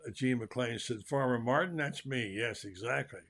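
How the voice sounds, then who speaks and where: An older man hosts a live talk show, chatting in a folksy, easygoing way. His voice is gravelly and bright, and he calls out loudly to guests who can't hear him. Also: mid-range voice, moderate pace. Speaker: host. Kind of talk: casual live show chat.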